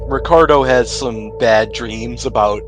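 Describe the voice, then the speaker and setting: A man's voice: mid-range voice, measured pace, poor audio. Guy game mastering a tabletop rpg, casual narration, mid voice, music under it. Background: background music.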